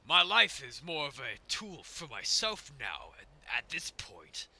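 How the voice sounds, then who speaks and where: A man streaming a game with a gravelly voice, rambling and swearing as he talks in and out of character. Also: mid-range voice, wildly swooping pitch, sharp tone. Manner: rambling, halting, swears a lot. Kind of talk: casual in-character game commentary.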